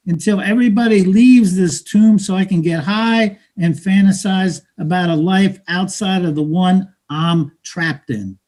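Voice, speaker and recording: A high-voiced working man, played by an actor in a stage drama, streetwise and disillusioned. He preaches in slangy, emphatic bursts, jaded and weary of his lot. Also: darkish tone. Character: cynical, disillusioned low-wage American worker, streetwise and weary. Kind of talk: stage play reading.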